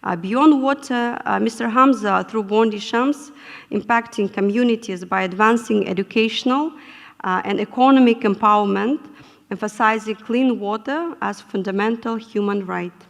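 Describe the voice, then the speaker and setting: Husky-edged woman moderating in a hall. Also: mid-range voice. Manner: measured, with frequent hesitations. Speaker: panel moderator. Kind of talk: formal conference moderation. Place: conference hall. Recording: echoey conference hall.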